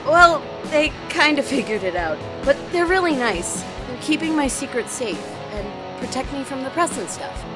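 A woman's voice: high voice, big pitch swings, normal speed, hardly any pauses, over music. A woman playing a cynical, emotional teenage schoolgirl in an amateur online radio drama. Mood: earnest. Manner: emotional, indignant, emphatic. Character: cynical, defiant teenage schoolgirl, secretly a model.

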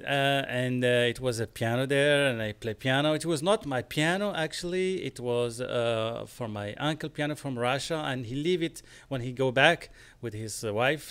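A man in his forties with a Moroccan accent speaks into a studio microphone, his voice smooth and clean. He talks haltingly in casual interview chat, often restarting and hunting for the right word. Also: mid-range voice, a bit bright. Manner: halting, searching for words, many restarts. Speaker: guest composer. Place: small studio with screens. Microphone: studio mic on stand, headphones.

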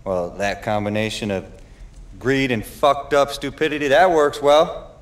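An actor playing a bitter, cynical outlaw biker in a video game cutscene, blunt, caustic and foul-mouthed. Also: male voice, medium pitch, measured pace, close to an everyday voice.

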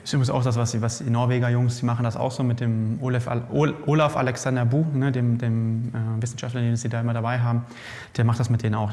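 A male host in casual expert conversation, lively and explaining, often restarting mid-sentence, in a bike fitting lab. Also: medium pitch. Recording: long reverb in a lab room.

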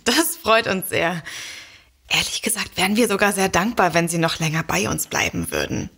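A woman's voice: lowish voice, sing-song, moderate pace, gravelly, fairly bright tone, slightly rough, exaggerated voice. An actress playing a polite, sceptical woman writer who speaks in a dry, matter-of-fact way in an audio drama. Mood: polite, uneasy.

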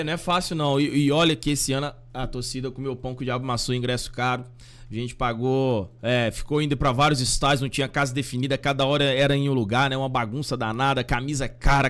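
A Brazilian man in his thirties hosting a fan channel, reacting casually to listeners' voice messages in a slangy, animated way. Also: mid-range voice, fast talker. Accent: Brazilian Portuguese. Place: small home studio with fan decor. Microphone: studio mic on boom arm.

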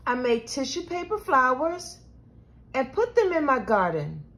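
A woman reading a children's storybook aloud with expressive, deliberate delivery. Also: high-pitched. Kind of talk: scripted children's storybook narration.

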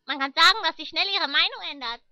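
A woman's voice: high-pitched, lively intonation, fast talker, very noisy. A woman in an amateur anime fandub voicing tiny, cheeky fairy companions with sing-song teasing.

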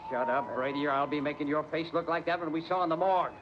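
An actor playing a gruff, impatient older police lieutenant in a 1940s radio mystery, bossy and exasperated. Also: male voice, a little high, fast, lightly acted. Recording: muffled 1940s radio recording, faint noise.

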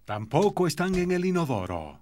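A man's voice: high-pitched, very animated, normal speed, darkish tone, slight background noise. A man narrating a children's cartoon in simple storybook style, telling the story to small children. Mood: clear, storytelling.

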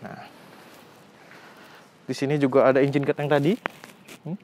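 Outdoor recording with some background noise of a young man reviewing a motorcycle on a phone camera. His pitch sits in the upper range for a man, and he talks casually, with slang and exclamations. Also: level tone, normal speed, darkish tone. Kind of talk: casual hands-on vlog review. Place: outdoors on a residential street.

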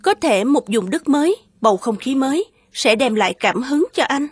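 A gentle, high-voiced young wife. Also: female voice, animated, moderate pace, breathy edge, no echo. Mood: encouraging. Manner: gentle, soothing. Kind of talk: animated fairy-tale dubbing.

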